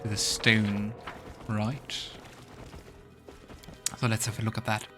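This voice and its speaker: A man with a lowish voice gives casual gameplay commentary. He thinks aloud and reads the on-screen story text as he goes, with game music faintly behind him. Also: little pitch movement, slow, fairly bright tone. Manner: musing aloud to himself. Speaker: let's play host.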